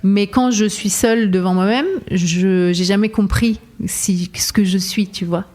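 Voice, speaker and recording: A woman's voice: mid-range voice, steady tone, measured pace, a little mellow. A woman singer who grew up between France, Lebanon and Ivory Coast, talking in a casual interview in a reflective, searching way, with many hesitations and frequent laughter. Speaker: singer, guest.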